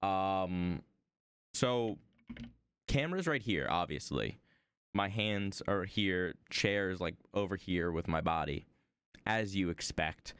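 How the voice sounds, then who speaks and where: A man streaming from home while he draws, chatting about his desk microphone in a rambling, self-deprecating way, his voice a little hoarse. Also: takes it slowly, no room sound, clean studio sound. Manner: rambling, self-deprecating, lively swings in pitch. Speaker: art streamer. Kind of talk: casual stream chat.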